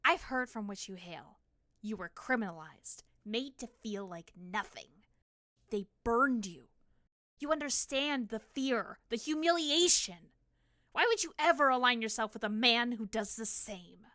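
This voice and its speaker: Woman acting a high-voiced, taunting female villain. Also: animated, sharp tone, no room sound, clean studio sound. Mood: coaxing, bitter. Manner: mocking, dramatic, drawn-out delivery with sighs. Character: taunting, theatrical female traitor villain, cunning and bitter. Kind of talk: audio drama acting.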